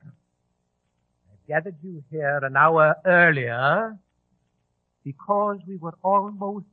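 A high-pitched, ruthless gang boss. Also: male voice, slow, no room sound. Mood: menacing, commanding. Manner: barks orders, menacing. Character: ruthless, commanding male leader of a bomber gang. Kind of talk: 1940s radio drama acting. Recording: dry, muffled old radio broadcast.

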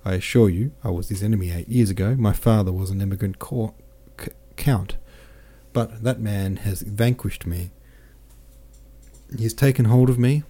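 A man's voice: lowish voice, normal speed, mellow, breathy edge. A man voicing a jovial, boastful French army captain who chatters in exclamations.

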